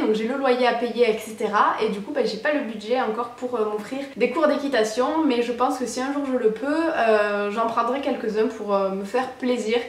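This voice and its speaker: A young woman from France chats through viewer questions in a relaxed vlog, pausing often on filler sounds. Her voice sits a little high. The room echoes, and she sounds set back from the mic. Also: far from the mic. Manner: chatty, many "euh" fillers. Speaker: vlogger. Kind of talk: casual Q&A vlog.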